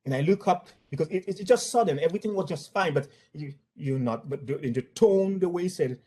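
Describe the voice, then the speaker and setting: A man with a Haitian accent recounts personal experiences as a former employee in an unscripted way. His voice sits a little high for a man. He speaks haltingly, often doubling back on words and phrases. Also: animated, dark tone. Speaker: former bank employee giving testimony. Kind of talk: spontaneous spoken account of workplace incidents.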